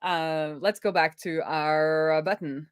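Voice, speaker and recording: A woman talking casually as she live-codes, thinking aloud in a playful, animated manner. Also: deep voice, slow, clear, resonant tone, dry recording, clean studio sound. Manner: animated, playful, breaks into laughter and song. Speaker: live-coding streamer, web developer.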